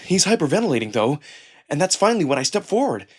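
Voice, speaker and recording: A man reading a visual novel in the first person as a theatrical, expressive narrator. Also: fairly high pitch, fast, breathy edge. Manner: theatrical, expressive, acts out emotion. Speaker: narrator and performer. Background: music.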